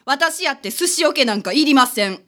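女性が演じるきちんとした依頼人、高い声. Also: female voice, high-pitched, animated, normal speed, a bit bright. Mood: indignant. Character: polite, indignant female neighbour client. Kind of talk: community radio drama acting.

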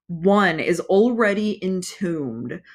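Booming fiery god, as a woman puts him on. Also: animated. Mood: gloating menace. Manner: slow, booming, gloating. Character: menacing fiery male god, commanding and cruel. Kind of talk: audiobook character voice.

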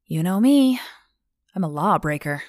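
A woman's voice: fairly low pitch, lively intonation, a little slow, darkish tone, no room sound, clean studio sound. A woman voicing an emotional, playful young woman of nineteen in an audiobook. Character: emotional, playful nineteen-year-old woman, girlish for her age.

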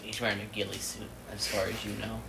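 A woman with a low, mumbling voice joking crudely while playing a game with a friend. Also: monotone, fast, gravelly, background noise. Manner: joking, crude, sing-song bits. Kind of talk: casual chat over a game.

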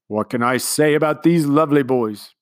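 A man's voice: mid-range voice, moderate pace, no room sound, studio quality, voice pushed up, close to an everyday voice. A man voicing a grandiose, sentimental middle-aged male commander who talks in long, flowery speeches. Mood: grandiloquent. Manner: long-winded, pompous speechmaking.

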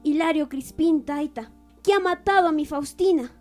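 A woman's voice: high-pitched, normal speed, darkish tone, faint music behind, close to an everyday voice. A woman playing a vengeful, grieving village mother in a radio drama, deferential yet determined. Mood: resolute, vengeful.

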